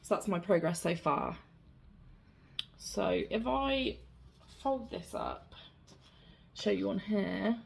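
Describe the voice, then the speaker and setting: A young woman with a slightly raspy voice, chatting casually as she shows her cross-stitch projects. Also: mid-range voice, sing-song, slow pace, long pauses, slight background noise. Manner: chatty, rambling, self-deprecating. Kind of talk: casual show-and-tell chat.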